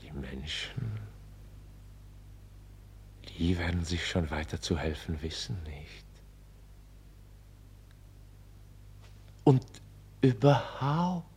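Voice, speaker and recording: Male author for radio drama: husky, theatrical, very animated pitch, unhurried, inventing a grand dark tale. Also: exaggerated voice. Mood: hushed, resigned. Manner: theatrical, musing, swinging from hushed to declaiming. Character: excitable male author improvising a grand catastrophic story. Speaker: radio play actor.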